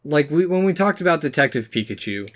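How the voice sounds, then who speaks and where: A man's voice: a little high, very animated, brisk, a bit bright. A man co-hosting a podcast, talking casually through his opinions in conversation. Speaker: podcast co-host. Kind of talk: casual movie discussion.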